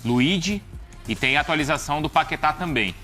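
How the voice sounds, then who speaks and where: Brazilian guy hosting a live show, forceful bright voice, light music behind. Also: a little high, lively intonation, fairly quick. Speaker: host. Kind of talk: casual live stream hosting.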